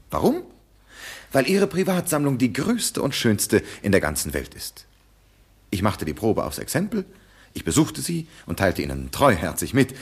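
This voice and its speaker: A man's voice: deep voice, slightly rough, full character voice. An actor playing a confident detective in a radio drama who accuses his opponent in a dry, defiant way. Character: sharp-witted male detective, confident.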